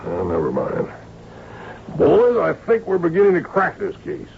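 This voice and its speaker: An actor playing a brisk, probing police inspector with a gravelly voice in an old-time radio drama. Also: male voice, on the high side, wildly swooping pitch, background noise, full character voice. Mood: keen. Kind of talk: old-time radio drama acting with first-person narration. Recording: dull old radio recording.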